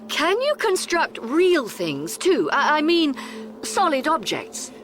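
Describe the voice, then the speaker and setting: A bright-minded, earnest woman travelling in space. Her high, expressive voice is unhurried and coaxing, with faint music behind, as in a radio drama. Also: exaggerated voice.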